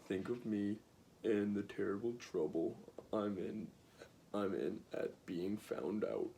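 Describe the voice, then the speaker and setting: A man's voice: on the low side, animated, slow pace, vocal fry, dark tone, slight background noise, full character voice. A man voicing a timid little old humbug wizard from a storybook, trembling, meek and pleading. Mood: meek, hushed.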